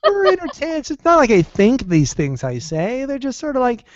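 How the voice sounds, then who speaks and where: A man co-hosting a podcast with a raspy voice that swings widely in pitch. He talks in a loose, joking way and laughs at himself. The sound is dry and somewhat muffled. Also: wildly swooping pitch, moderate pace, no room sound. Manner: joking, self-mocking, laughs often. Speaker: podcast co-host. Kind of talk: casual podcast chat. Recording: dull, dry lo-fi audio.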